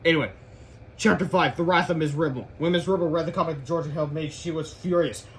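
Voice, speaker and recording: A man's voice: fairly high pitch, steady tone, fast talker, slight background noise. A man narrating a children's book read-aloud, stumbling over words now and then.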